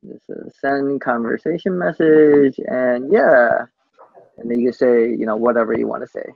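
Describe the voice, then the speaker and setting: A man giving a casual live software demo on a team call, speaking haltingly and often tacking "basically" onto sentences. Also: mid-range voice, gravelly, dry recording. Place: coworking space, on a group video call. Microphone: video call microphone. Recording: muffled video call audio.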